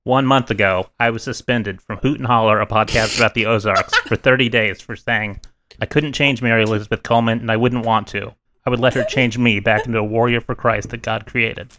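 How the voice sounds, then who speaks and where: A man co-hosting a casual podcast, dry and deadpan, reading a mock statement. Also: medium pitch, moderate pace, a little hiss or hum. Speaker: podcast co-host.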